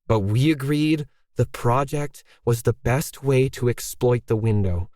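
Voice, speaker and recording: A man's voice: mid-range voice, a little slow, a little mellow, no echo, clean studio sound, close to an everyday voice. A man voicing a middle-aged woman artist in a story, defiant and indignant, tightly holding back anger. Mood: tight, controlled.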